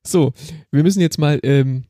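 Relaxed man with a mellow voice. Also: a little high, normal speed, no echo, clean studio sound. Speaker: podcast co-host. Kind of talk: casual chat.

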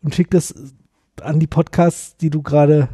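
A man co-hosting a casual podcast, who gives short replies and explains things slowly. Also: fairly high pitch, level tone, mellow, breathy edge, no echo, clean studio sound. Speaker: podcast co-host.

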